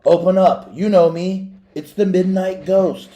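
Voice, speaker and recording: Male reader as a big menacing storybook stranger, mellow-dark timbre, urgent demands. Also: fairly even intonation, measured pace, highs cut off. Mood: loud, insistent. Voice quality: low-voiced. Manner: urgent, commanding. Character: menacing big red-faced man, the Midnight Ghost.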